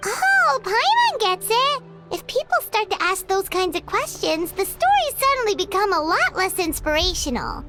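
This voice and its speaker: A woman voicing a tiny, excitable, chatty fairy companion with a squeaky childlike voice in a video game. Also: moderate pace, over loud music, full character voice. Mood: excited. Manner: refers to self in third person, exclamatory.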